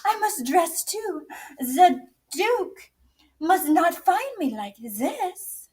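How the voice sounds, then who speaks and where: A woman's voice: very high voice, sing-song, drawn-out words, a little mellow, voice pushed up, heavily acted. A woman voicing a sweet, pleading young girl from a storybook fairy tale. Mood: dreamy, hurried.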